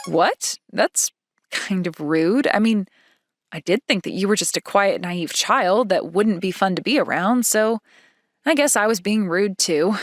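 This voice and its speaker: A woman's voice: medium pitch, expressive, measured pace, dry recording, clean studio sound, close to an everyday voice. A young woman playing a reserved yet playful schoolgirl in a manga dub, coy and matter-of-fact with a touch of indignation. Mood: mildly indignant. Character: reserved yet playful, easily flustered schoolgirl love interest.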